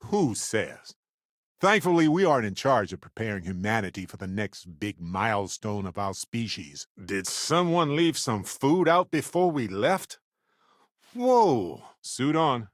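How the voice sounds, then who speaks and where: A man playing an affable, wry, adventurous space explorer companion in a video game, with playful, expressive delivery. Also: fairly low pitch, dry recording, clean studio sound. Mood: casual, musing, then upbeat, curious.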